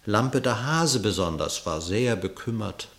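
A man narrating a classic verse fable in a radio play, measured and expressive. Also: lowish voice. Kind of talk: scripted storytelling narration in verse.

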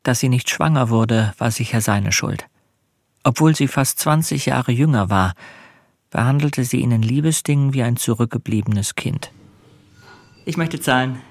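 An actor playing a timid, lonely middle-aged husband in a radio play, speaking hesitantly and brooding in quiet narration. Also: male voice, mid-range voice, normal speed, dry recording, studio quality, lightly acted. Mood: evasive, subdued. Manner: hesitant, terse, melancholy. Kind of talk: German radio play acting with introspective narration. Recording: music behind the voice. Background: music.

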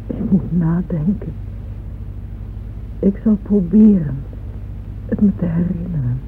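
A woman's voice: fairly low pitch, a little hiss or hum. An actress playing a weary old Russian noblewoman, speaking wistfully and reflectively in a radio drama monologue. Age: older. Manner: slow, reflective, intimate address. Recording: muffled old radio recording, music behind.

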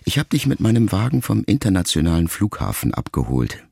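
An actor playing a middle-aged writer son who addresses his mother in a measured, introspective, quietly reproachful radio monologue. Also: sits low, sing-song, vocal fry, no room sound, studio quality, full character voice. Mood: restrained reproach. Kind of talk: literary monologue in a radio play.

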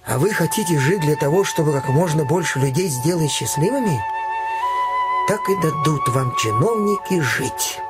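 Man acting high-voiced resigned doctor, radio play, very animated, cowed and weary, music behind. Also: normal speed, natural voice. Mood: bitter, resigned. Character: cowed, resigned doctor urging others to give up.

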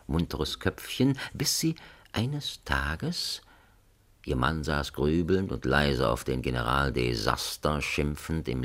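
A man narrating a satirical radio drama in a deliberate, ironic, literary manner. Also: very deep voice, gravelly, a bit bright, full character voice. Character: wry male storyteller narrating a satirical tale.

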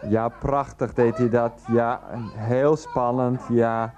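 Actor doing earnest flustered guy in a radio play, soft mellow voice, keeps repeating himself, trying to placate. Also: mid-range voice, level tone, slow, highs cut off, natural voice. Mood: earnest, coaxing. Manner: hesitant, repetitive, placating, pleading. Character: earnest, flustered adult son and partner, placatingly arguing. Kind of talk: 1990s radio play acting.

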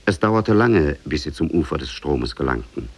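A man narrating an Oriental fairy tale in a measured way, as in a 1950s German radio play. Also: extremely low pitch, animated, creaky. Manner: measured, storytelling.

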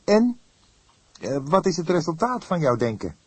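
A man's voice: on the high side, big pitch swings, moderate pace, slightly rough, dry recording, pitched above the performer's own voice. A man voicing a young male detective in an audiobook, teasing and mildly sarcastic.

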